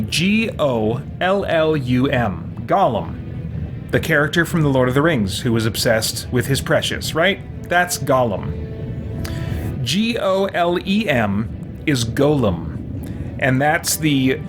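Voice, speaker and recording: A man chats casually as he hosts a let's-play. His voice has an ordinary middle pitch, and he rambles as he explains things to viewers. Game music runs clearly underneath. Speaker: host of a gaming stream.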